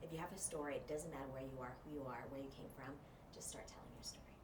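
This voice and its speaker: A woman's voice: very deep voice, rapid-fire. A woman from Thailand, a journalist and media trainer, chatting casually as a guest in an interview and talking with lots of fillers. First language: Thai. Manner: animated, chatty, many fillers. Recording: roomy, distant mic, noisy.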